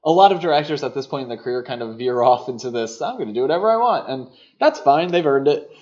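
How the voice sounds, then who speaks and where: A man's voice: medium pitch, expressive, quick pace, a little mellow. Dull-sounding male podcast host talking film. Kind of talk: casual film discussion.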